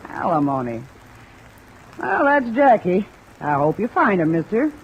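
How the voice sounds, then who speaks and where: Brassy, lively hotel desk woman. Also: lowish voice, a little slow, muffled recording. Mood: musing, familiar. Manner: folksy slangy familiarity, evasive. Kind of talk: old-time radio drama acting. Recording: old radio recording under rain noise.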